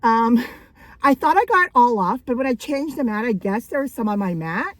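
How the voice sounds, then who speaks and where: Chatty raspy-voiced woman vlogger. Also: on the high side, expressive, moderate pace, fairly bright tone, dry recording. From Canada. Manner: chatty and rambling, frequent likes and laughs. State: raspy from coughing. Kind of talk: casual thrift haul vlog.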